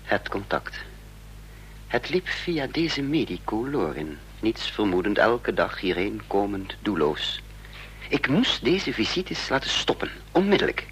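An actor playing a tense young man in hiding, speaking and narrating haltingly in a Dutch radio drama. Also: fairly low pitch, big pitch swings, a bit bright. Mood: brooding, dramatic. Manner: halting, deliberate. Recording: noisy, humming old radio recording.

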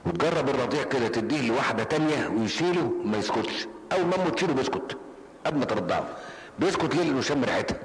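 A man's voice: medium pitch, long reverb tail, poor audio. An older man with an Egyptian Arabic accent, giving a colloquial, story-driven lesson in a mosque and repeating phrases for emphasis. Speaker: preacher. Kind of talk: mosque lesson answering questions. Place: mosque hall.

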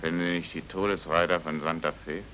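An actor playing a naive, earnest American writer abroad in film drama, speaking plainly. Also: male voice, deep voice, level tone, fast talker, pushed and bright, slight background noise.

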